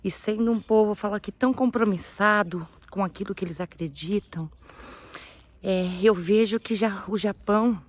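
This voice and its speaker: A missionary woman speaking earnestly in a halting, casual way, with many restarts, while she walks outdoors. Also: mid-range voice. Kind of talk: casual religious vlog talk. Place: outdoors, walking past houses and ruins.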